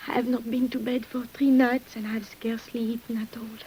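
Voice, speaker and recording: An actress playing a weary, secretive French nurse in an old radio drama, speaking formally and hesitantly with breathy sighs. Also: female voice, on the high side, little pitch movement, a little fast, warm tone, lightly acted, rough recording. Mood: exhausted, faint. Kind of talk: 1950s radio drama acting. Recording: hissy old radio recording.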